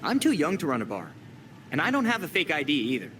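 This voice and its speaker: High-voiced, wary teenage boy vigilante. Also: wildly swooping pitch, fast talker, fairly bright tone. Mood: wary, sarcastic. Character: wary, sharp-tongued teenage male vigilante. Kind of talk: conversational video game acting. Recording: clear background noise.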